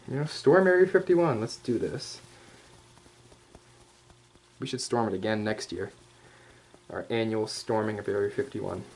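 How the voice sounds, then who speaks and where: A man voicing a male hyena furry commander in a casual, rambling, playfully cocky ASMR roleplay, speaking straight to the listener with slangy asides and hesitant pauses. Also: lowish voice, a little mellow, low-quality recording. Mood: casual, boastful. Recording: clear background noise.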